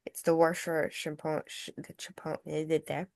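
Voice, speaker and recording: A woman's voice: sits low, level tone, moderate pace, creaky, a little mellow. A woman taking part in a casual discussion among actors, feeling her way tentatively through her thoughts as she talks. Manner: tentative, thinking aloud.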